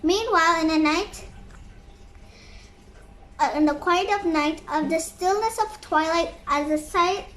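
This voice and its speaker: High-pitched young girl reading a story, slow. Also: fairly bright tone. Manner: halting, stumbles over words. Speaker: book read-aloud narrator. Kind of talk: expressive storybook read-aloud with voiced sound effects. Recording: noisy home recording.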